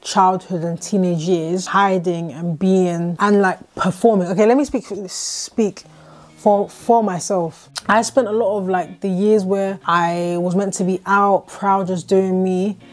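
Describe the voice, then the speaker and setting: A woman's voice: mid-range voice, fairly even intonation, unhurried. A woman in her twenties chatting casually at home, thinking aloud with lots of "like" fillers and restarts. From Britain. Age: 20s. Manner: reflective, talks in long runs with many "like" fillers and restarts. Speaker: YouTuber host. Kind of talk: casual chat. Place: bedroom, indoors.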